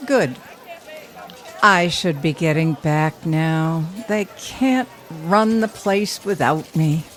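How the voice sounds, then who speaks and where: A woman acting in an audio drama as a guarded, wealthy businesswoman with a measured, dryly teasing delivery. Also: low voice. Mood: sincere, businesslike. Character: dry, guarded, wealthy woman steel mill owner. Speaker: actor.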